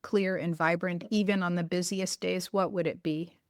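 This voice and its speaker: Low-voiced woman podcast host. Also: fairly even intonation, moderate pace, dry recording, studio quality. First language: bilingual in Greek. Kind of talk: casual interview chat.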